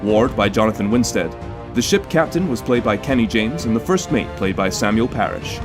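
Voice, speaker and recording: A man's voice: lowish voice, expressive, moderate pace. A man announcing in a scripted old-time radio drama style, as the show's narrator. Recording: music bed behind.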